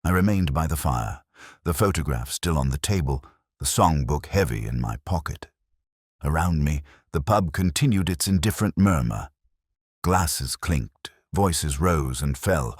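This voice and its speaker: A man reading a scripted first-person detective story in a brooding, measured, literary manner. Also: rumbling low, a little mellow, husky, dry recording, studio quality. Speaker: audiobook-style narrator.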